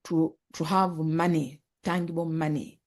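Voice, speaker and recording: A woman from Uganda, telling her life story as a podcast guest, earnest and halting, often repeating words. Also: low-pitched, little pitch movement, darkish tone. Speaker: guest, author and nonprofit founder.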